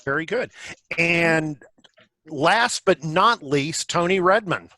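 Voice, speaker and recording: A man's voice: fairly high pitch, expressive, slow, bright, forceful tone, no echo, clean studio sound. A man hosting a table read on a video call in a casual, chatty way. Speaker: host and director of a virtual table read. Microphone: video call microphone.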